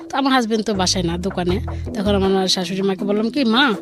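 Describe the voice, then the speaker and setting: A woman's voice: mid-range voice, fast. A woman with a Bangladeshi accent telling a personal story conversationally, quoting dialogue back and forth in colloquial speech. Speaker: caller or guest telling her life story. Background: music.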